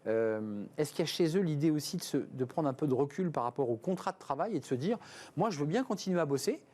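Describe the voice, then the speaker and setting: A man's voice: fairly high pitch, expressive, quick pace, warm tone. A man hosting an interview, asking probing questions and rephrasing them for his guest. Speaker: host, interviewer.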